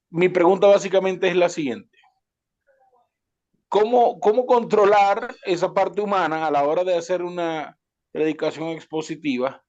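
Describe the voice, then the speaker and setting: A man's voice: on the high side, darkish tone. A man with a Venezuelan accent asking a question in an online webinar, hesitant and often restarting his words, over dull call audio. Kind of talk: spontaneous question to a speaker.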